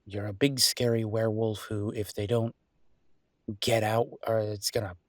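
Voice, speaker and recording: A man playing an easygoing, teasing chef boyfriend in an intimate audio roleplay, chatting with affectionate, playful exasperation in a husky voice. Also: fairly low pitch, little pitch movement, normal speed, no room sound, clean studio sound, lightly acted. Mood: playful, coaxing. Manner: conversational, playful, exasperated banter.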